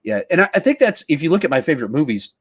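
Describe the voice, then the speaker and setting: A man's voice: fairly high pitch, big pitch swings, very fast, slightly rough. A man hosting a podcast in a casual film discussion, thinking aloud in a rambling way with repeated words and restarts. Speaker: podcast host.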